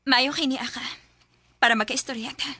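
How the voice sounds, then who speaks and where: A woman's voice: sits high, steady tone, normal speed, pushed and bright, breathy edge, no echo, full character voice. A woman playing a betrayed, anguished wife resolved to leave, in an intense Bisaya radio drama, with a Cebuano accent. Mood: resolute. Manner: emotional, intense.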